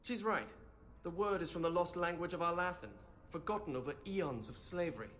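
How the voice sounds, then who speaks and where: A man playing a fussy, bookish young mage in a video game, with a nervous, excitable, pedantic manner. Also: on the high side, fairly quick, lightly acted. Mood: explaining.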